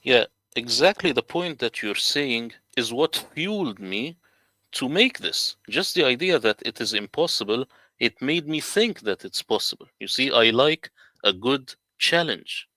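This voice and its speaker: A man's voice: mid-range voice, expressive, unhurried, pushed and bright, dry recording. A man from the Middle East whose first language is Arabic, earnestly telling his story in casual livestream talk. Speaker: guest translator.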